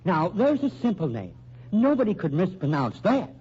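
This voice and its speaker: A man's voice: sits high, huge pitch swings, normal speed, breathy edge, full character voice. An actor playing a timid, fussy, formal married man who speaks hesitantly in an old radio drama. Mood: fussy, aggrieved. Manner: hesitant, fussy, formal, spells out his name. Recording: muffled, humming old radio recording.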